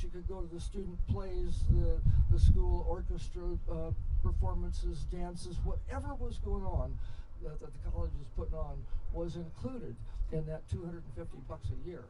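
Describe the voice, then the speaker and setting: An older American man telling stories live, rambling and halting, with impassioned digressions and salty language. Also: high-pitched, fairly even intonation. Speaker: storyteller and speaker at a festival. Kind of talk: rambling live storytelling to an audience. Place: live storytelling session at a festival. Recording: noisy live event ambience.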